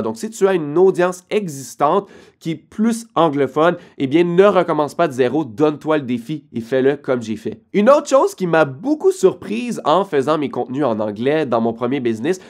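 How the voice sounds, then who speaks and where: Québécois guy vlogging, fairly high voice, talks straight to you, punchy advice. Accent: Québécois French. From Quebec, Canada. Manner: direct, addresses viewer as tu, emphatic. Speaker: online business creator. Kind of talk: casual advice vlog.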